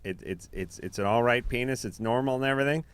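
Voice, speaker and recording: A man's voice: medium pitch, fast, clean tone. Wisecracking crude radio co-host, man. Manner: wisecracking, crude, teasing callers. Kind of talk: joking call-in radio banter.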